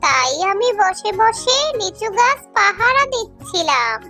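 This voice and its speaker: A little fox girl from a children's cartoon. The voice is extremely high and bright, whiny and childlike, drawn out slowly. Music plays behind it. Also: big pitch swings, heavily acted. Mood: earnest. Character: hungry little fox girl, obedient and pleading.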